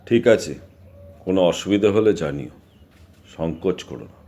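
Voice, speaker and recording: Man acting a very deep, mellow village patriarch. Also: moderate pace, natural voice. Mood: fatherly. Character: middle-aged village patriarch, calm, authoritative father. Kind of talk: audio drama dialogue.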